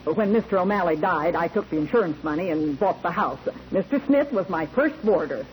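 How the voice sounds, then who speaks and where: An actress playing a middle-aged widowed boarding-house keeper in a 1940s radio drama, careful and matter-of-fact. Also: female voice, fairly low pitch, lively intonation, a little fast, darkish tone, close to an everyday voice. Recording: noisy old 1940s radio recording.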